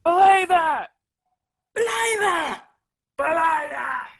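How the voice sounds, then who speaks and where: Woman doing a bellowing deckhand, very high, creaky, shouted orders, radio play. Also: animated, slow, heavily acted. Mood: shouting orders. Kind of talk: radio drama acting.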